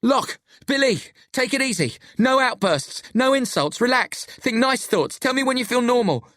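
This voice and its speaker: A wary boy leader acted by a narrator, with a raised pitch and an urgent, controlled, bossy delivery. The storybook dialogue is recorded dry. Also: animated, normal speed, no room sound, natural voice. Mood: stern, urgent. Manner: stern, urgent, soothing by effort.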